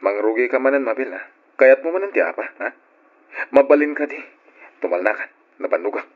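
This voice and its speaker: A man playing an insistent married father in an Ilocano radio drama, with a husky voice. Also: mid-range voice, lively intonation, a little fast, natural voice. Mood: irritated. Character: Jerome, insistent married father demanding truth about his son. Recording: muffled lo-fi old radio recording.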